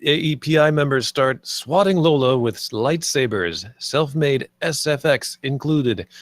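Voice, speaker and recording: A man's voice: medium pitch, animated, a little slow, no room sound. A man narrating stage directions at a live script table read.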